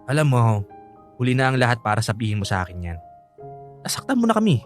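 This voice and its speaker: An actor playing a resentful, stubborn young adult son in a radio drama, with a curt, sarcastic manner. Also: male voice, medium pitch, wildly swooping pitch, quick pace, soft background music. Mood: bitter, sarcastic.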